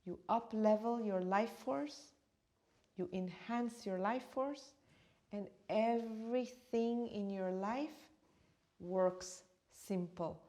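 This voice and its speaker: A woman's voice: medium pitch, slow, mellow. A middle-aged woman from Uganda whose first language is Swahili, a meditation teacher speaking in an interview in an earnest, flowing way that repeats phrases for emphasis. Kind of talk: interview conversation.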